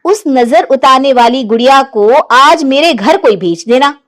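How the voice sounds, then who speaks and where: A woman as shrewd, high-voiced village wife. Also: animated, a little slow. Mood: assured. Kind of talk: animated moral story dialogue.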